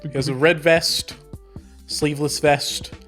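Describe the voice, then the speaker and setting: A man's voice: on the high side, flat delivery, a little slow, a bit bright, faint music behind. A man chatting casually with friends about writing and his tabletop game character, rambling and breaking off his sentences, often laughing. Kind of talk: casual group chat.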